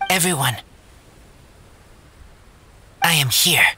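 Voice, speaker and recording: A man voicing a blunt, loyal wolf-raised teenage boy in an anime video game, speaking in halting broken phrases. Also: fairly high pitch, animated. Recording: faint background music.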